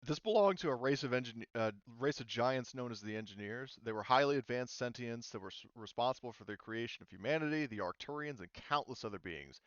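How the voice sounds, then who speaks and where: Tabletop sci-fi courier woman, played by a man, casual, earnest explainer, smooth voice, slightly dull dry sound. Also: medium pitch, expressive, fairly quick, hardly any pauses, fairly bright tone, no echo, natural voice. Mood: earnest explaining. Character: Dev, casual female hired courier explaining ancient alien lore.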